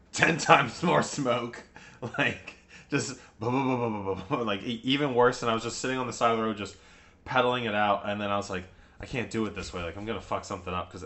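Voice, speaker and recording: A man's voice: fairly low pitch, pushed and bright. A man co-hosting a podcast in casual chat, telling stories in a chatty way with frequent laughs and casual swearing. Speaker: podcast co-host.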